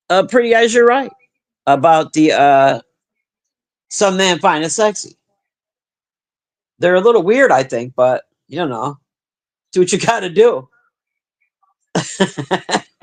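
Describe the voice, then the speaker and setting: A middle-aged woman with a deep, husky smoker's voice, chatting profanely and bluntly with her livestream viewers. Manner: profane, blunt, animated banter. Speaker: livestream host reading chat. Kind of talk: casual livestream chat.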